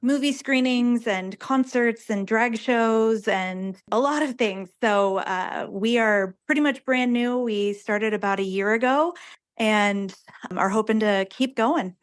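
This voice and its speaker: A woman speaking casually and earnestly as an interviewed theater director, with an enthusiastic manner. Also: medium pitch.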